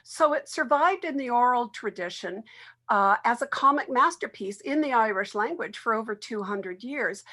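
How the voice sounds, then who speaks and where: A woman's voice: fairly high pitch, animated, moderate pace, pushed and bright. Woman explaining literature in an interview. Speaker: literary expert being interviewed.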